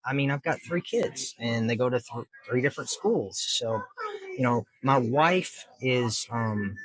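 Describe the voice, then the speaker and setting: Husky plainspoken man. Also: medium pitch, expressive, measured pace, dry recording, poor audio. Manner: earnest, plainspoken, explains patiently with many fillers. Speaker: district judge, guest. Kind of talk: casual interview answers.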